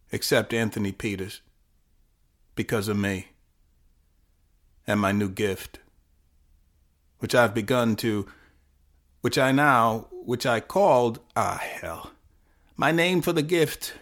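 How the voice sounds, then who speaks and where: Slow, brooding troubled teenage boy played by a man. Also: on the low side, fairly bright tone. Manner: halting, deliberate, with dramatic pauses and repeated phrases. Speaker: writer performing own short story. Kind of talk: dramatic first-person monologue, flash fiction podcast.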